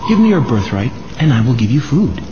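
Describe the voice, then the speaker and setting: A man's voice: medium pitch, very animated, brisk, noisy background, lightly acted. A man voicing a shrewd, anxious younger son in a Bible cartoon, insistent and dramatic. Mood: sly, insistent. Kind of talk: Bible cartoon story acting. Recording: dull audio under loud music.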